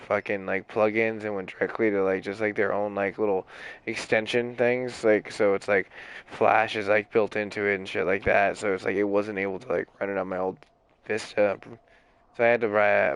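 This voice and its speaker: A young man streaming games while sick, with a raspy, hoarse voice, rambling in casual chat full of fillers and swearing. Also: lowish voice, no room sound. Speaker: game streamer.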